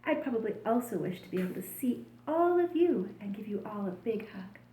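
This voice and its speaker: Female children's storyteller, soft warm tone, expressive deliberate reading, echoey room sound. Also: mid-range voice, a little hiss or hum. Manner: expressive, deliberate storytelling addressed to young listeners.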